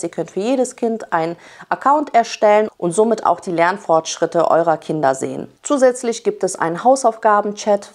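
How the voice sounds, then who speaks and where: A woman in her thirties, a vlogging parent, reading a sponsor message in an upbeat, enthusiastic way. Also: fairly low pitch. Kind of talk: scripted sponsor read.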